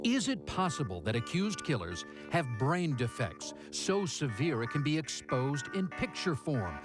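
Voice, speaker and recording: A man's voice: on the high side, sing-song, unhurried, music in the background. A man with an American accent reading a scripted television news report as a reporter.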